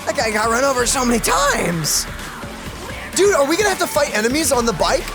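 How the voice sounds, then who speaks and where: A young adult hosting a reaction video with excitable casual commentary full of gamer slang. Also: high voice, a little fast, music in the background.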